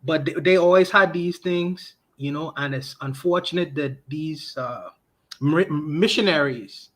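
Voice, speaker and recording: A man's voice: on the high side, a little slow, a bit bright. A man co-hosting a livestream podcast, giving his opinion emphatically and repeating his points with frequent restarts.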